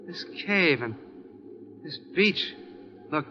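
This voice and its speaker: A man playing a dazed, injured young escaped convict in an old-time radio drama, halting and groggy, on a muffled recording. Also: a little high, lively intonation, pushed and bright, exaggerated voice.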